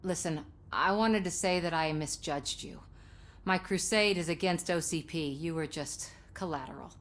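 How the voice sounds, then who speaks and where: An actress playing an earnest, assertive television news reporter in a video game, polished and articulate. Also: female voice, on the low side, moderate pace, a little hiss or hum, close to an everyday voice. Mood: conciliatory.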